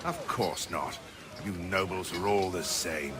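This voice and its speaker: Scornful, animated ship captain. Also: male voice, sits low, measured pace. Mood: resentful, scornful. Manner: curt, scornful. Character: gruff, resentful male ship captain. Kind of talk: video game cutscene acting. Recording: loud outdoor noise behind.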